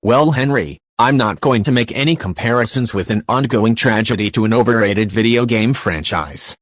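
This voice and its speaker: A man's voice: medium pitch, normal speed, no room sound, clean studio sound, lightly acted. A man playing a serious, dismissive news anchor in an audio drama newscast, with a formal presenter's delivery.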